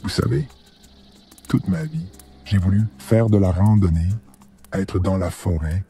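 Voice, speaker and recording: A man voicing a gravelly, weary old grandfather. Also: low-pitched, mellow, faint music behind. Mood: wistful, earnest. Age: 70s. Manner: slow, earnest, reflective. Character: weary, ailing old grandfather, regretful and earnest. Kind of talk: animated drama acting in French.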